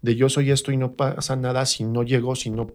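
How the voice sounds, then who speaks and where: A man with a Mexican accent and a mid-range voice speaks as an interview guest. His delivery is colloquial and reflective, like someone thinking through ideas in a relaxed chat. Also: mid-range voice, brisk. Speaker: interview guest, stage actor. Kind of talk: casual interview conversation.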